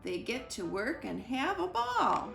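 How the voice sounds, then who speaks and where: Slow, creaky-voiced woman reading a storybook. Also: fairly high pitch, huge pitch swings. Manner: deliberate, playful emphasis on rhyming words. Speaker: librarian reading a picture book aloud. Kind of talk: expressive children's storytime read-aloud. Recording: roomy echo with music behind. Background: music.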